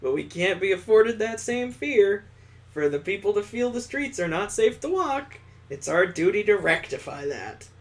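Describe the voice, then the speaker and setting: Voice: medium pitch, big pitch swings, normal speed. A game streamer voicing a stern, condescending detective dad. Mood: grave. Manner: condescending, grave. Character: stern, weary old detective father, condescending to his son.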